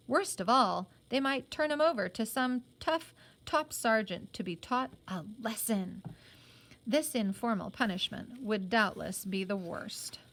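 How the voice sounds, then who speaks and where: A woman's voice: mid-range voice, a bit bright. A woman reading a children's novel aloud as a teacher, expressively and with simple explanations.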